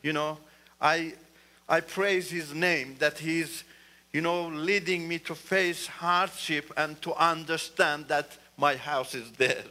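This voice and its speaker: Man, guest church speaker, earnest, emphatic, bright tone. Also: fairly high pitch, fairly even intonation, slow. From Iran. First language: Turkish. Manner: earnest, repeats "you know", rises emphatically. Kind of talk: testimony talk in church.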